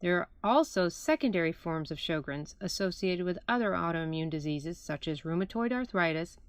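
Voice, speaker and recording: A woman's voice: on the low side, expressive, moderate pace, clear, resonant tone, dry recording. A woman, an optometrist, reads her own clinical article as formal, explanatory scripted narration.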